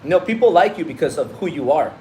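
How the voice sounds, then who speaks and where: A man's voice: a little high, animated, quick pace, a little mellow, a little hiss or hum, low-quality recording. A man from Mexico whose first language is Spanish, speaking with a Mexican Spanish accent in casual, blunt livestream talk as a business coach, swearing and repeating himself freely. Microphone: phone on a live stream.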